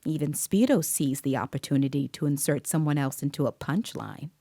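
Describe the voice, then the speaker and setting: An actress playing a composed, observant female interviewer, narrating an audio drama in a measured, precise way. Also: deep voice, lively intonation, warm tone, no echo, studio quality. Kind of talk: scripted first-person dramatic narration.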